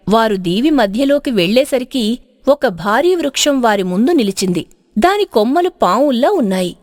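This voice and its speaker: High, animated woman storyteller. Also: dry recording, studio quality. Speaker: narrator. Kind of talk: dramatic scripted storybook narration.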